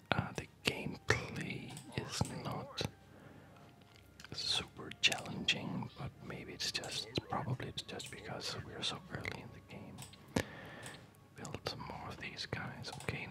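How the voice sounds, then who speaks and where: A person whispering slow, breathy ASMR commentary over a video game, musing playfully and hesitantly.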